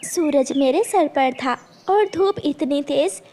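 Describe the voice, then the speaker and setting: A woman voicing a gentle mother bird who tells stories of her hardships in a children's cartoon. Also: sits high, slow. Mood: earnest, reminiscing. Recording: faint background noise.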